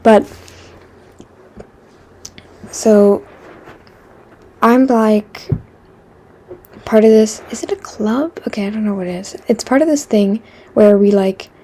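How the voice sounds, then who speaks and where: Chatty teenage girl from Quebec, soft husky voice. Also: medium pitch, slow pace, pauses often. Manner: chatty, self-deprecating, many fillers and restarts; drops into a whisper for asides. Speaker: vlogger. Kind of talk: rambling casual chat.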